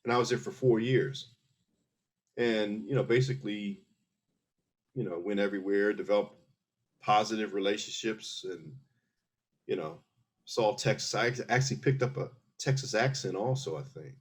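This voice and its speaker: Husky-voiced man explaining step by step. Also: lowish voice, moderate pace, long pauses. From Philadelphia, USA. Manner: earnest, explains step by step with many restarts. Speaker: guest, army veteran. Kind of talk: casual interview conversation.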